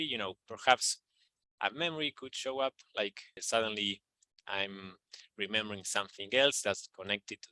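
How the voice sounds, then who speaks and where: Bright-toned man guiding a tapping lesson. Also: on the low side, no echo, poor audio. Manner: calm, repetitive guided phrasing with fillers. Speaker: EFT practitioner teaching tapping. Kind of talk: instructional video walkthrough.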